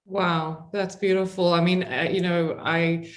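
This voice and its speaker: A woman's voice: on the low side, flat delivery, a little slow. A woman from Zambia hosting an interview, speaking in an encouraging, conversational way. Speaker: host.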